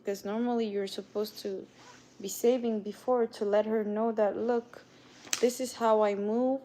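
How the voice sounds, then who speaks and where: A woman's voice: medium pitch, mellow. A young woman with a non-native accent hosting a casual livestream, chatting with viewers and greeting them by name. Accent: non-native English accent. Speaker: livestream host. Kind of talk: casual live-stream chat with viewers.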